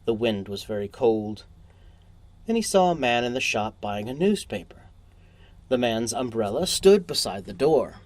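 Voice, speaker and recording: A man's voice: medium pitch, big pitch swings, moderate pace, a little mellow, dry recording. Man narrating a short story, dry sound.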